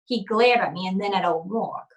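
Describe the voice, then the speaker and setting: A woman's voice: medium pitch, moderate pace, slight background noise, close to an everyday voice. A woman playing a hardboiled, unflappable private eye in an old-time radio noir drama, with a tough, businesslike delivery. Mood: wry, steady narration. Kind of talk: old-time radio noir acting and first-person narration.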